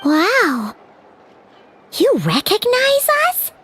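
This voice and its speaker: A woman voicing a tiny floating girlish companion who is bubbly, blunt and quick to exclaim and tease. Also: very high voice, huge pitch swings, heavily acted. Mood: curious. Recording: game audio with background noise.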